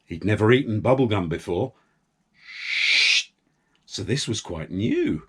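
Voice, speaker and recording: A man's voice: fairly low pitch, expressive, a little mellow, breathy edge. A man telling a story to young children in a playful way, drawing out and repeating words. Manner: playful, with drawn-out words and repetitions.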